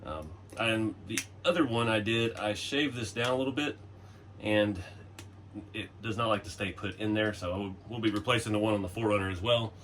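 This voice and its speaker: A man hosting a casual hobby tutorial from his garage workbench, talking in a laid-back, chatty way with chuckles. He sounds a little hoarse, as if he is losing his voice. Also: lowish voice, normal speed, fairly bright tone, a little hiss or hum. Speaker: RC hobby channel host. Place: home garage workshop.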